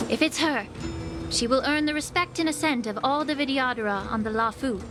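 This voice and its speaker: A woman's voice: sits high, a little fast, music in the background, natural voice. A woman voicing an earnest young female attendant in a game cutscene. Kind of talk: video game cutscene acting.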